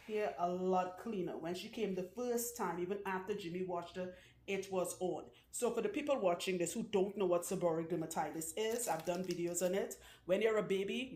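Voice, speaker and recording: A woman's voice: on the low side, normal speed, darkish tone, slight background noise. A woman loc stylist hosting her channel, chatting casually and jokingly with viewers while she works on a client's hair in a salon room. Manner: chatty, jokey, outspoken. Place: indoor salon room with a couch. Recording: roomy indoor sound.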